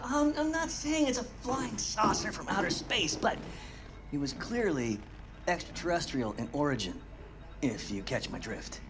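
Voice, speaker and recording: Game casting: a paranoid American ex-lawyer, middle-aged, rambling, profane, wildly animated in pitch. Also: male voice, unhurried, noisy background. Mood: conspiratorial, intense. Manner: rambling, excitable, sweary, emphatic. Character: manic, foul-mouthed American conspiracy theorist and ex-lawyer. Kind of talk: video game cutscene acting.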